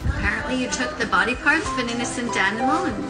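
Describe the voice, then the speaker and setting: A woman's voice: on the high side, fast. A woman recording an earnest, admonishing personal video message as an activist. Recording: echoey room with loud music. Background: loud music.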